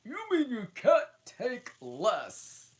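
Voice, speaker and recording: A woman's voice: a little high, wildly swooping pitch, drawn-out words, pitched above the performer's own voice, exaggerated voice. A woman voicing a rude, smug man who makes hats, in a storybook reading.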